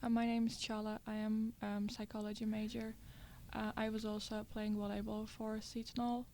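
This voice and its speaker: Young woman from Turkey, monotone and mellow, halting answers. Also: medium pitch, slight background noise. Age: young adult. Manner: halting, simple phrasing with fillers. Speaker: student athlete. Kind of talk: casual interview answers.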